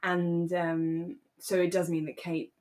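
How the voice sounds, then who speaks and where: Young British female co-host, lower-pitched voice, relaxed conversational delivery, self-correcting as she thinks. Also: slow pace. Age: young adult. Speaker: podcast co-host. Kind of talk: relaxed casual discussion.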